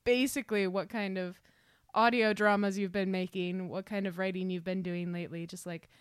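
A woman's voice: mid-range voice, flat delivery, normal speed, clear, resonant tone, dry recording, clean studio sound. A woman hosting a casual podcast interview in a playful, chatty way. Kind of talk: casual, joking interview chat.

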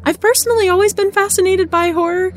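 A woman giving a scripted podcast introduction as guest host, with expressive, emphatic phrasing. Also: very high-pitched, fast, soft background music.

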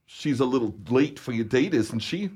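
An actor playing a wry, paternal police officer in an old-time radio comedy. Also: male voice, medium pitch, lively intonation, normal speed, darkish tone, slightly rough. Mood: questioning, wry. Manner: wry, avuncular authority.

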